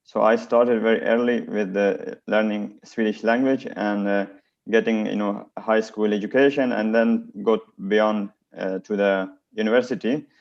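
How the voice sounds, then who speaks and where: Afghan-born guy on a dull lo-fi call, lowish voice, lots of uhs. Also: steady tone, moderate pace, vocal fry. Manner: hesitant, many fillers. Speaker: aid organization officer. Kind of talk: online seminar interview. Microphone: video call. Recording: dull lo-fi video call audio.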